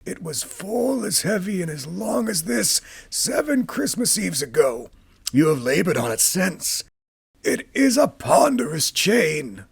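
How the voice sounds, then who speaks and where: A man voicing a doomed old male ghost, speaking in a slow, drawn-out, mournful way in a storybook reading. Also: high-pitched, very animated, creaky, breathy edge, no room sound, voice pushed up, exaggerated voice. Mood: solemn. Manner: slow, wailing, drawn-out delivery.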